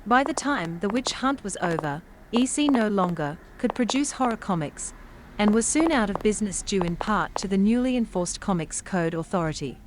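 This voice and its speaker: A medium-pitched woman's voice acting as a tall comic shop owner in a comedy horror audio drama. She is dry, knowing and unimpressed, with clear noise in the background. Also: lively intonation, moderate pace, natural voice. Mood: explanatory.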